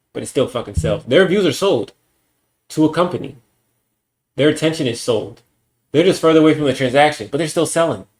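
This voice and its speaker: A young man streaming alone, ranting bluntly and with lots of profanity in a casual monologue. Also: medium pitch. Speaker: livestreamer.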